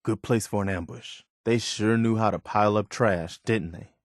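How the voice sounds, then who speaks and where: A man's voice: lowish voice, fairly even intonation, normal speed, no room sound, clean studio sound, lightly acted. A man voicing an earnest, hopeful militiaman companion in a post-apocalyptic video game, speaking in a plain, wistful and wary manner. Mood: wary, watchful.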